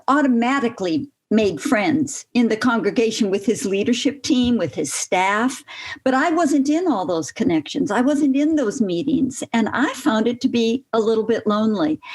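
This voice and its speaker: A woman's voice: a little high, a little mellow. An older woman interviewed as an author, answering earnestly and graciously. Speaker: guest author.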